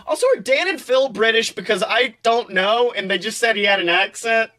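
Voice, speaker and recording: Guy reading fan fiction on a podcast, highish voice, casual, sweary, drops in asides. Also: moderate pace, bright, forceful tone. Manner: casual, profane. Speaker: podcast host.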